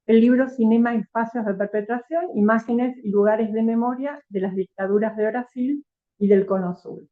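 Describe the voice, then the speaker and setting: A woman's voice: a little high, normal speed. A woman with a Rioplatense Spanish accent introducing a guest speaker, reading a bio with fillers and tag questions. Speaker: event host. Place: lecture hall. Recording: tinny phone-like recording.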